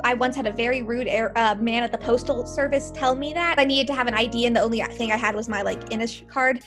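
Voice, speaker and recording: A woman's voice: fairly high pitch, steady tone, fast talker, over music. A twenty-year-old American woman, a student, chatting informally and telling anecdotes. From United States, Georgia. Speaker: university student interviewee. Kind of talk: casual chat, anecdotes.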